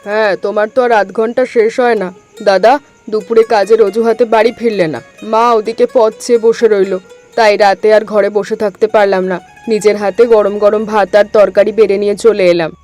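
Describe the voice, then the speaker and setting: A woman voicing a caring younger sister in a family cartoon drama, gently scolding her brother. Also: mid-range voice, flat delivery, fairly quick, faint music behind, close to an everyday voice.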